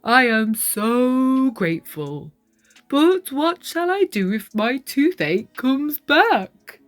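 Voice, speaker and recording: A woman voicing a big male storybook crocodile, humbled and grateful, with a loud, emphatic, drawn-out delivery. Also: high-pitched, very animated, soft background music, heavily acted.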